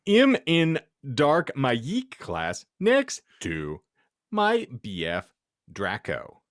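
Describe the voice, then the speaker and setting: A man's voice: medium pitch, a bit bright, no echo. A man hosting a podcast, giving a comic dramatic reading of fan fiction in a theatrical way and often close to laughing. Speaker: podcast host.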